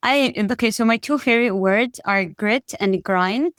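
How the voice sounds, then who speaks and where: Enthusiastic female podcast host, slightly high voice, dry close recording. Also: normal speed, fairly bright tone, no echo. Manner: enthusiastic, upbeat, laughs easily. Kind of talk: casual interview hosting.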